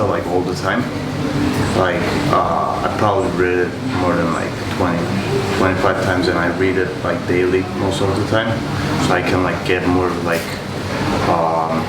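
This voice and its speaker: Man from Turkey, lowish voice, hesitant speech, far from the mic, air-con noise behind. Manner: halting, many fillers. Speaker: yoga student. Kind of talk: class introduction. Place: yoga class room. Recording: distant roomy mic with loud background hum.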